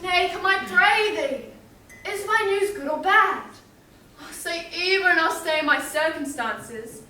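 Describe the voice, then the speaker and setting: Shakespearean teenage heroine, female actor: impatient, pleading, very high voice, slow delivery, distant in a reverberant hall. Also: animated, pushed and bright, exaggerated voice. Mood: exasperated. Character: impatient, lovestruck teenage girl awaiting news. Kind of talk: Shakespearean stage acting. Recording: echoey hall, distant mic, faint noise.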